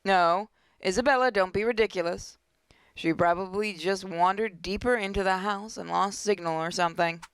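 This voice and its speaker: A woman's voice: mid-range voice, moderate pace, a bit bright, clear, resonant tone, dry recording. A woman hosting a gaming video, reading visual novel text aloud expressively with casual commentary.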